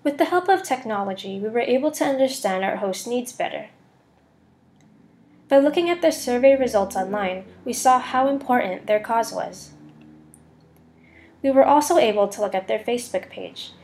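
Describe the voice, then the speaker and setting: A young adult woman with a fairly high voice narrates a prepared slide presentation. She speaks as a student presenter, reading from a script. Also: animated, moderate pace, darkish tone.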